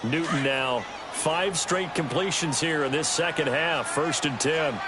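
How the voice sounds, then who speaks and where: A male actor playing a brisk, polished American play-by-play announcer in a football video game, calling the action in broadcast style. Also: on the high side, very noisy, lightly acted. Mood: brisk, focused.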